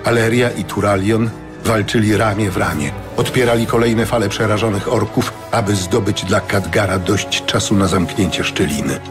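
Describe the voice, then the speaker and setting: Low-pitched male audiobook narrator. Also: fairly even intonation, moderate pace. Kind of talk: scripted fantasy audiobook narration. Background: music.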